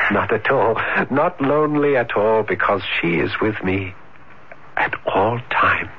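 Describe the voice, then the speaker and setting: An actor in an old radio drama playing a lonely, shy, obsessive romantic who speaks in halting, fervent repetitions. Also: male voice, lowish voice, slightly rough, full character voice. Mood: dreamy, fervent. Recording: dull, noisy vintage radio broadcast.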